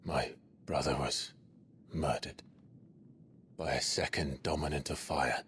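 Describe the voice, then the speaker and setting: A man's voice: very deep voice, steady tone, fairly bright tone, slight background noise, full character voice. A man playing a brooding young fugitive soldier in a video game, terse and intense, bent on vengeance. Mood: grave, determined. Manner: grim, terse, slow and intense. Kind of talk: cinematic video game acting.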